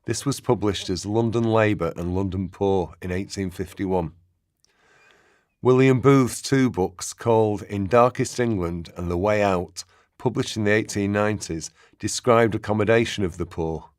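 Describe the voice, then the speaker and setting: A man acting as a sober narrator, giving measured, expository documentary-style narration in a radio play. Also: on the low side, no echo, studio quality, natural voice. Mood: sober, explanatory. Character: sober male historical narrator.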